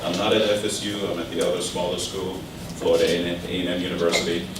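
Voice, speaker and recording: A man's voice: low-pitched, little pitch movement, quick pace. A middle-aged man with a German accent gives an informal workshop lecture, talking conversationally with many fillers and restarts. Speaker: physics professor teaching a workshop. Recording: distant mic in an echoey room with background noise.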